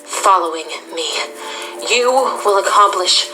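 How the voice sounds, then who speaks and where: A woman's voice: medium pitch, slow, lightly acted. A woman playing a troubled, defiant pony princess and elder sister in an audio drama, strained and indignant. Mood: angry. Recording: echoey, with music behind.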